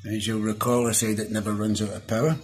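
A middle-aged man with a Scottish accent talks through a hands-on review in a casual, down-to-earth way. His voice sits on the low side. Soft music plays faintly behind him. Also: level tone, fast talker. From Scotland. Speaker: product reviewer. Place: small indoor room at a desk.

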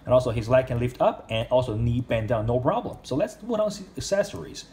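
A man's voice: medium pitch, lively intonation, fairly quick, warm tone, slight background noise. A man reviewing an action figure in casual speech, with simple, non-native English phrasing. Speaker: toy reviewer.

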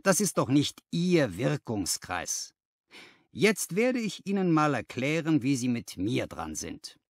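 A man voicing an energetic, flattering financial agent in an audiobook, speaking in an emphatic, self-assured way. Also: medium pitch, slow pace, no echo, studio quality, exaggerated voice. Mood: scornful, persuasive. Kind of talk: dramatized audiobook dialogue.

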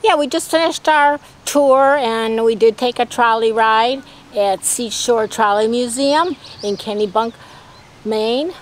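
A woman's voice: on the high side, slow, slight background noise. An older woman chatting casually outdoors as co-host of a travel vlog, easygoing and agreeable.

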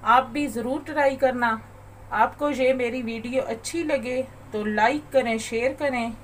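A woman hosting a cooking channel, calmly talking through a recipe step by step in a voice-over. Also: sits high, a little slow, slight background noise. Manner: calm, methodical instructions. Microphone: voice-over over overhead shots of a bowl.

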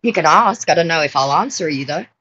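A woman's voice: sits low, lively intonation, quick pace, bright, forceful tone, slightly rough, lo-fi sound. A middle-aged woman on a video call, sarcastic and mocking as she scolds the other person. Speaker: scambaiter. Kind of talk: confrontational phone call. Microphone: phone on a video call.

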